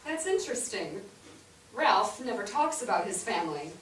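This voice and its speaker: A woman's voice: medium pitch, very animated, normal speed, lightly acted. A woman playing a proper, deferential young wife in a staged play reading, speaking carefully and courteously. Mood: polite, tentative. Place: theatre stage, recorded from afar. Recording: distant noisy microphone in echoey theatre.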